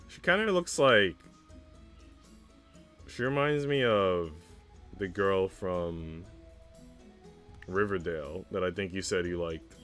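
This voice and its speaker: A man's voice: deep voice, takes it slowly, pauses often, fairly bright tone. A young man streaming, chatting casually in a slangy, laid-back way. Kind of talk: casual livestream chat. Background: music.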